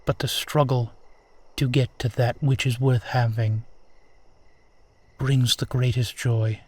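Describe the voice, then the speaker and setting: A man playing a gentle, wistful immortal vampire in an audio roleplay, speaking formally and tenderly to the listener. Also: mid-range voice, little pitch movement, breathy edge. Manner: courtly, formal, measured, tender. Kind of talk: intimate scripted roleplay monologue addressed to listener.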